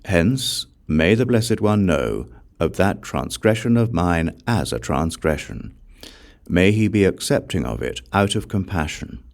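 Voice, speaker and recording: Deep-voiced man reading as a humble, devout young nun. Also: animated, unhurried, vocal fry, a little mellow, exaggerated voice. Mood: solemn, penitent. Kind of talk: audiobook scripture dialogue.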